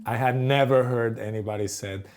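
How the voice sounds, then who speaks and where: A man from Latin America with a Latin American Spanish accent, a podcast host talking casually in halting English full of restarts. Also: mid-range voice, fairly even intonation, a loud crowd. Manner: affirming, halting with repeated restarts. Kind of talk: casual conversation.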